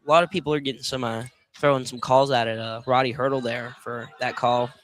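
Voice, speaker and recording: A man with a medium-pitched voice offers color commentary on live basketball. He speaks casually and analytically, in a halting way, breaking off and picking his thoughts back up. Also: fairly even intonation, slight background noise, rough recording. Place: courtside at a college basketball game.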